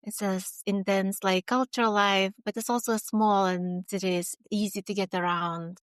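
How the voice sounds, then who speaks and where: A woman with a Lithuanian accent, a podcast guest, speaking earnestly and pausing to search for her words. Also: mid-range voice, fairly even intonation, clear, resonant tone, no echo. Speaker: guest, HR consultant. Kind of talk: interview conversation.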